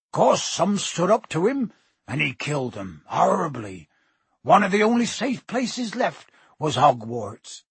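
A man voicing a gruff, warm-hearted giant in an audiobook, rough-voiced, with rustic, blustering and halting speech. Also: a little high, no echo, heavily acted. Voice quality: husky, rough.

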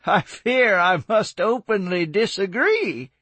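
A man voicing a courtly, good-humored older gentleman who speaks formally and teases gently, in an audiobook story. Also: sits high, big pitch swings, takes it slowly, breathy edge, no echo, pitched above the performer's own voice, full character voice. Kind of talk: audiobook character voice.

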